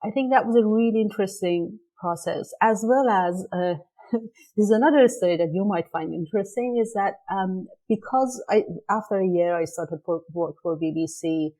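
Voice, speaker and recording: A woman's voice: mid-range voice, normal speed, dark tone, poor audio. A middle-aged woman from Iran, a native Persian speaker, telling her story as an interview guest with frequent ums and restarts. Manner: thoughtful, many ums and restarts. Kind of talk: casual interview conversation.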